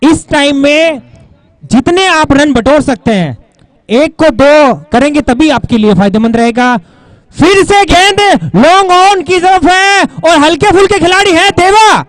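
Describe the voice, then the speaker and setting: A very high-pitched man commentates on a live cricket match and makes announcements. He likes short rhyming quips, and his voice is recorded dry and close, without room echo. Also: lively intonation, a little slow, fairly bright tone.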